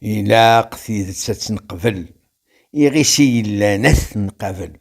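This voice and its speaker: Man, Kabyle accent, poet reciting verse, heavy creak in the voice, slow declamatory phrasing. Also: on the low side. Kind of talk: poetry recitation in rhymed verse.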